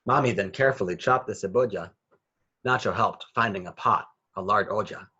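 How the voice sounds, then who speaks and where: A man's voice: fairly low pitch, brisk, sharp tone, slightly rough, muffled recording. A man reading a children's picture book aloud with rhythmic, sing-song phrasing. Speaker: children's story reader.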